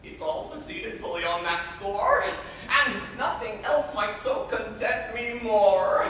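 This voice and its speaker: A man's voice: sits high, lively intonation, takes it slowly, fairly bright tone, a little hiss or hum, exaggerated voice. An actor playing a pompous, controlling middle-aged male guardian, declaiming rhyming verse in a stage monologue. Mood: lecturing. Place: high school auditorium. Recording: echoey hall from far off.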